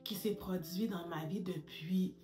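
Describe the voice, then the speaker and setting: A woman's voice: lowish voice, measured pace, music in the background. A woman, giving advice straight to camera as a lifestyle YouTuber, calling her viewers "girls" and mixing in English words. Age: 30s. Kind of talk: direct-to-camera advice vlog.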